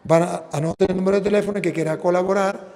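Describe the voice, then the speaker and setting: Venezuelan priest preaching in a reverberant hall. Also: male voice, high-pitched, steady tone, darkish tone. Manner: preaching with slow, emphatic stresses, then plain appeal. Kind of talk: sermon and prayer.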